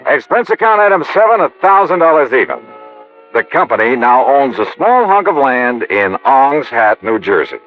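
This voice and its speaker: An actor playing a wry, quick-witted American investigator, both narrating and acting in 1950s noir radio style. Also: male voice, medium pitch, sing-song, faint music behind, lightly acted. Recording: noisy, dull vintage radio recording.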